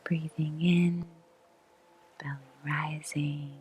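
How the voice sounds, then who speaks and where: A woman guiding a meditation in a soft whisper, with a slow, soothing delivery and long pauses. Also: deep voice, flat delivery. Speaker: meditation guide. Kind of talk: guided meditation.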